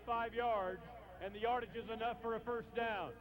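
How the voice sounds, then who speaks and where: High-pitched male referee. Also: steady tone, normal speed. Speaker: football referee. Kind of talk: formal penalty announcement.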